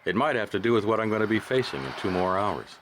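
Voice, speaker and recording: An actor playing a weary, wry private detective in a hardboiled radio drama, laconic and understated, both narrating and in dialogue. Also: male voice, on the low side, big pitch swings, fast, fairly bright tone, a little hiss or hum. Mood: wry, watchful.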